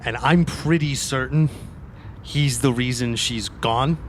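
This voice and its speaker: A man's voice: medium pitch, slow, close to an everyday voice. A man acts out a sullen young man with a grudge in a tabletop game. He talks bluntly and swears freely, over slight background noise. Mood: bitter, brooding. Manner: gruff, blunt, profane.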